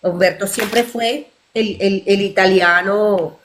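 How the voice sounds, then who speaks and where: A woman leads a live panel conversation in a middle-pitched voice, chatting easily and affirming the others. She talks in a relaxed conversational way as she adds her own recollections. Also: slow, noisy background. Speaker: moderator.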